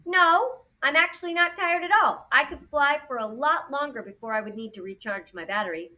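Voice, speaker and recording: Audiobook casting: woman as restless, chatty boy drone robot, high and loud, bright, eager delivery. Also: a little fast, far from the mic. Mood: indignant, boastful. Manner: excitable, impatient, loud. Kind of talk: children's audiobook character voice.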